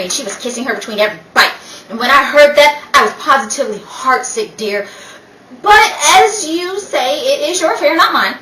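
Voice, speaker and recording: Meddling high-society gossip, played by a woman, theatrical false concern, high voice, 1930s radio style, distant mic. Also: sharp tone. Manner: theatrical, gushing. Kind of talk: 1930s radio play acting. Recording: echoey room, distant mic, faint noise.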